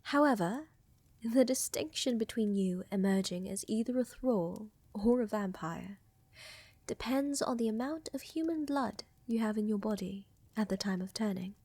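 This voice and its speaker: Woman as vampire countess, breathy whispers, close dry sound, tender, teasing, gently dominant, formal wording, intimate ASMR roleplay. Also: medium pitch, lively intonation, normal speed, no echo. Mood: concerned, serious.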